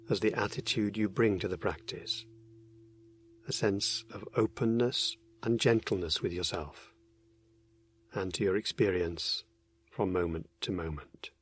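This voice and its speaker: Deep-voiced man guiding a meditation. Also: slightly rough. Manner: gentle, unhurried instruction with many pauses. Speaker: meditation guide. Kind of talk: guided meditation narration.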